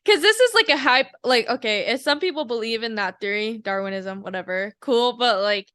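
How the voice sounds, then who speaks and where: A woman's voice: fairly high pitch, expressive, a little fast, sharp tone, smooth, no room sound, clean studio sound. A woman in her twenties, from South Korea, co-hosting a podcast in a giggly, laid-back chat and swearing casually. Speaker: podcast co-host.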